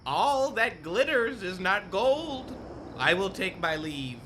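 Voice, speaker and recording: A woman's voice: medium pitch, big pitch swings, slow, fairly bright tone, slight background noise. A woman acting a judgmental, sententious woman in a radio play, pompous and declamatory. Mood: haughty.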